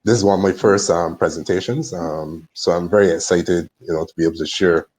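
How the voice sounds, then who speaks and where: A man's voice: low voice, steady tone, fairly quick, a little mellow, slight background noise. A man introducing himself carefully on an online call. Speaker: long-serving rum distillery employee. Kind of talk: careful self-introduction on a webinar. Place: indoors, on a video call.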